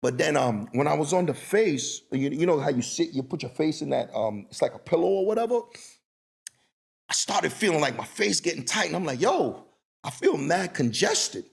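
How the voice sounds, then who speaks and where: A middle-aged man with a gravelly, slightly husky voice hosts a podcast. He rambles through stories in a loose, casual way and keeps checking in with "you know what I mean.". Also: fairly high pitch, sing-song, normal speed. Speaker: podcast host. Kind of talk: casual conversational interview.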